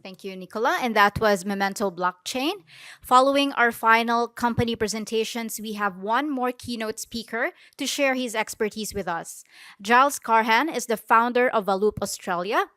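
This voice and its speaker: A woman host reading scripted introductions of speakers in a smooth, polished way. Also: a little high, moderate pace, bright, forceful tone, no echo. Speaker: event host.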